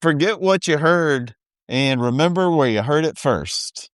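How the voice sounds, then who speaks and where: A man's voice: on the high side, expressive, unhurried, clean tone, dry recording, studio quality. A man in middle adulthood co-hosting a podcast, chatting in a wry, easygoing way. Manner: easygoing, wry, laughs often. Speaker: podcast co-host. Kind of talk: casual recap chat.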